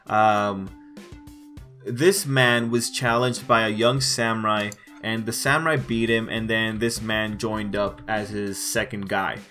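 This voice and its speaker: Male reviewer, mid-pitched, casual emphatic commentary that repeats itself for effect, music underneath. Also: fairly bright tone. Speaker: reviewer of manga chapters. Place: voice-over over still images.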